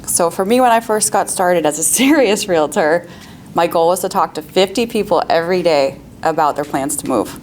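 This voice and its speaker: A woman's voice: fairly low pitch, moderate pace, darkish tone, slight background noise. A woman, a real estate agent on a panel, giving upbeat, practical advice with frequent laughs and questions to the audience.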